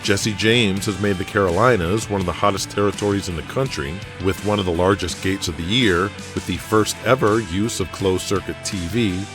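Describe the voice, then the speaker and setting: A man's voice: deep voice, steady tone, brisk, hardly any pauses, music in the background. A man narrating a scripted audio drama in a dramatic storytelling style.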